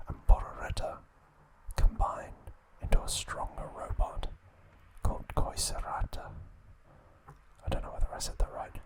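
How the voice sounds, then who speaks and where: A man hosting an ASMR video, recapping a story in a soft unvoiced whisper with small slips and self-corrections. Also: rough recording. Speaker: ASMR host.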